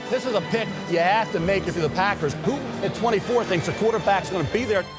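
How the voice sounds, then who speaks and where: A man's voice: high-pitched, fast. A man giving emphatic, argumentative live sports commentary on television. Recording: archive broadcast, loud crowd and music.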